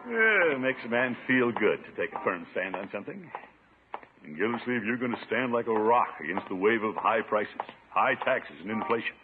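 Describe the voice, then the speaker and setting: An actor playing a pompous, blustering middle-aged uncle who chuckles and preaches in a 1950s radio sitcom. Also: male voice, lowish voice, expressive, normal speed, over music, natural voice. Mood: self-satisfied. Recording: muffled 1950s radio broadcast.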